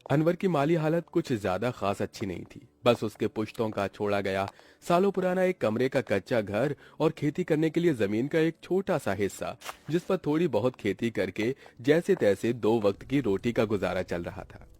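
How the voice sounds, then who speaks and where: A man's voice: mid-range voice, animated, normal speed, a little mellow. A man narrating a scripted story for a cartoon.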